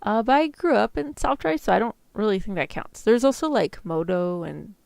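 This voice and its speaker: A woman streamer talks in a loose, casual way with plenty of filler words. Her voice sits at a middle pitch with noticeable vocal fry. The sound is close and very dry. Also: animated, normal speed, darkish tone, no room sound. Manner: casual, loose, filler-heavy speech with frequent "like". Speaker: VTuber streamer practicing ASMR roleplay. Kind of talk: casual livestream chat, roleplay script practice.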